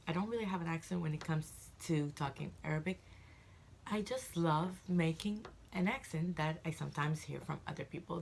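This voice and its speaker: A woman's voice: sits low, creaky, a little hiss or hum. A woman in her twenties from Egypt, chatting casually to camera as a vlogger, rambling with frequent fillers and restarts.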